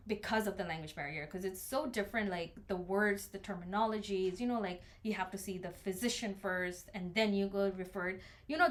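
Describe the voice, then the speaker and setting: A young woman from Nepal co-hosts a casual podcast, speaking English. She talks in a measured, thoughtful way and builds her points from her own experiences. Her voice sits at a middle pitch. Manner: measured, explains with personal examples. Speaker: podcast co-host.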